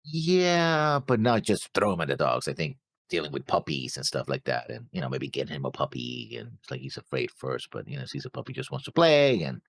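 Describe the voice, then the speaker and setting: A man's voice: very deep voice, huge pitch swings, brisk, gravelly, hardly any pauses, no echo. A man co-hosting a casual discussion show, rambling through his notes and acting out imagined remarks. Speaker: reaction show co-host.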